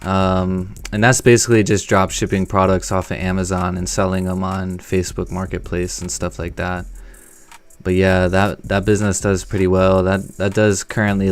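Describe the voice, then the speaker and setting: A man's voice: low voice, smooth. An American man in his late twenties chatting casually and slangily as host of a live stream. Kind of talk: casual chat.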